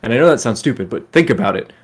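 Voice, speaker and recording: A man's voice: medium pitch, animated, racing, husky. A man co-hosting a podcast, sharing his opinions in informal, casual group conversation. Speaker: podcast co-host. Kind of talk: casual group chat about entertainment.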